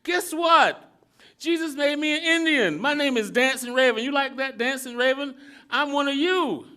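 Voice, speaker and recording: An eager, naive young man, as a male reader puts him on. He talks high and loud, with an excited, bright, sharp tone. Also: moderate pace.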